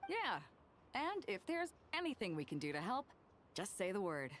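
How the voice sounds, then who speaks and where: A woman's voice: medium pitch, big pitch swings, moderate pace, a bit bright, a little hiss or hum. A woman voicing a bold, sociable female sea captain in a video game, breezy and confident.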